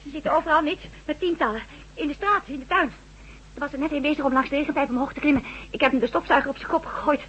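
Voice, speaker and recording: A woman's voice: sits high, fast, fairly bright tone, a little hiss or hum. An actress playing an anxious household woman in a radio drama, speaking in a colloquial, exclaiming way. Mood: frightened. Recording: dull lo-fi radio recording, faint music.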